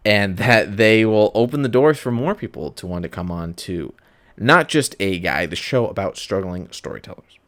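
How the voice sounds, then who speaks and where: Low-voiced man, casual rambling podcast host. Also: fairly bright tone, no room sound. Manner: rambling, self-interrupting, self-aware asides. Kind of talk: casual solo podcast chat.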